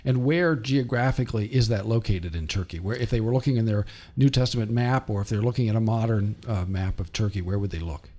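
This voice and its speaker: A man hosting a radio interview, introducing his guest and asking measured, friendly questions. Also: lowish voice, dark tone, no echo. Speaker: radio host.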